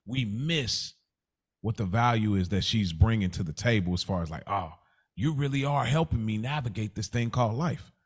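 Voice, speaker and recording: A man's voice: on the low side, lively intonation, normal speed. An American man co-hosting a podcast, chatting casually and reflectively, slangy and affirming as he circles around his point. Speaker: podcast co-host.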